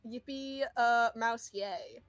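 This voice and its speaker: A woman's voice: fairly high pitch, slow, dry recording, soft background music. A woman chatting casually as a player in a tabletop role-playing game. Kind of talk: casual gaming chat.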